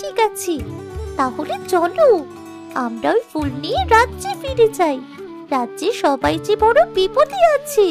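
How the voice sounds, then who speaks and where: A woman playing a kind, selfless young princess in a cartoon fairy tale, with an earnest, gentle manner. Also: very high voice, very animated, measured pace, over music, full character voice. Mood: gentle, concerned.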